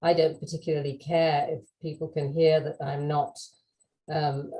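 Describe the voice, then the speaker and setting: A British woman, a webinar speaker, answering audience questions in a measured, conversational way over a video call. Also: low-pitched, level tone, mellow, low-quality recording. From United Kingdom. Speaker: webinar speaker, language expert.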